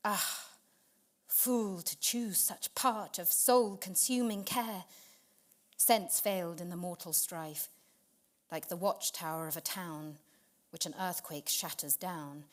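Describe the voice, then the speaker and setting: A woman with a fairly low voice performs narrative verse. She takes it very slowly, expressively, setting each phrase apart with deliberate pauses. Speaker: performer reading poetry on stage. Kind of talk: dramatic narrative poetry reading.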